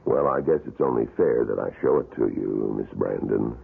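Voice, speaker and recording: A grim wax museum guide in an old radio drama, unfolding events in a measured, ominous way. His voice is extremely deep, husky and gravelly. It sounds thin and phone-like, like a lo-fi broadcast. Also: full character voice. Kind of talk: 1970s radio drama acting.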